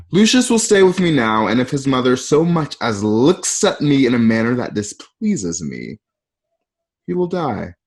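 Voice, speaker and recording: A man acting a cruel, coldly threatening Roman emperor in a radio play, slow and theatrical with pauses. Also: mid-range voice. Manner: slow, theatrical, pausing between phrases.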